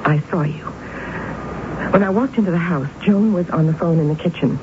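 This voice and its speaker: An actress playing an intense young woman obsessed with demons in a 1970s radio drama, with deliberate, dramatic phrasing. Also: on the low side, narrow, tinny audio, background noise, natural voice. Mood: urgent. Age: young adult. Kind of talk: 1970s radio drama acting, narration and dialogue. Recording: thin band-limited vintage radio broadcast.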